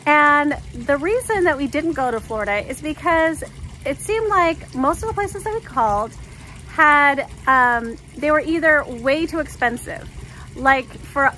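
A woman's voice: high-pitched, animated, sharp tone, a little hiss or hum, rough recording. A woman in her thirties from Canada, chatting upbeat to a phone camera held at arm's length. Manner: chatty and upbeat, quick asides. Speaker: vlogger. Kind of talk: casual vlog chat to camera.

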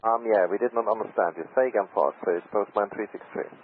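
A man's voice: lowish voice, quick pace. Pilot guy on a tinny radio, polite, sorry-sounding, bit of hiss. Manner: polite, apologetic. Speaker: airline pilot. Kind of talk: radio cockpit exchange.

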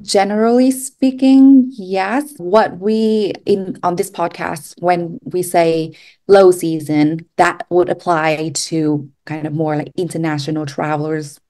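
Lower-pitched woman. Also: steady tone, takes it slowly, dark tone. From Vietnam. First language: Vietnamese. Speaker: podcast guest, travel company manager. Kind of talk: conversational interview answers.

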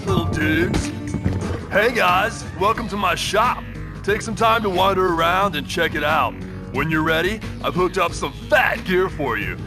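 An actor playing a laid-back, cocky young ski shop owner in a video game, talking in a slangy surfer-dude drawl. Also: male voice, sits high, a little slow. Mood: laid-back, cool. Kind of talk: cartoonish video game voice acting. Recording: loud game music behind the voice.

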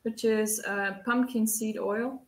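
A woman's voice: fairly high pitch, steady tone, slow pace, crowd noise. A woman from Austria with an Austrian German accent, speaking simple English and laughing easily as a whisky ambassador on a video call. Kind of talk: casual chat on a video call.

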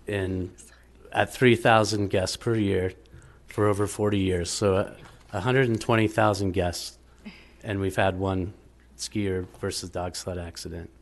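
A middle-aged business owner answering questions at a public meeting, defensive and quick to argue with figures. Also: male voice, low-pitched, steady tone. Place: town council meeting room, indoors. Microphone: desk microphone.

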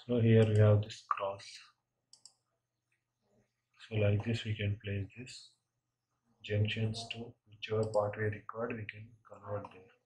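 A man giving a step-by-step software tutorial, often tagging his statements with a questioning "right?". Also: lowish voice, flat delivery, a little slow, pauses often, low-quality recording. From India. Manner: frequently tags statements with "right?". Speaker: software instructor. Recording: clear background noise with clicking.

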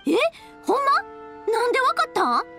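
An actress playing a cheerful, big-hearted young fireworks maker in an anime game, speaking with a Kansai accent. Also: female voice, extremely high pitch, moderate pace, gravelly, faint music behind, heavily acted. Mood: bright, cheerful. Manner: lively, friendly, expressive dialect talk. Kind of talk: Japanese anime game voice acting.

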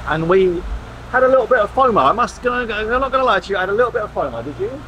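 A man's voice: high voice, animated, fast, sharp tone, a little hiss or hum, poor audio. Chatty man vlogging aboard a sailboat. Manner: chatty, wry, rambling asides. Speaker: sailing vlogger. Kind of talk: casual vlog narration. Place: aboard a catamaran, outdoors and in the cockpit. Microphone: handheld camera close to face.

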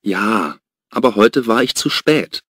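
A young worker giving slow, plain answers. His voice sits low. The recording is dry and close. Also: lively intonation, a bit bright, husky, no echo, exaggerated voice. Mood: apologetic. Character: young male worker explaining his taxi ride.

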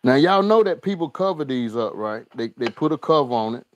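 A man's voice: mid-range voice, animated, brisk, no echo, muffled recording. A man hosting a live cooking stream from his kitchen, chatting with viewers as y'all and repeating his lines. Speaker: cooking show host. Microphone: overhead camera on the skillet, speaker unseen.

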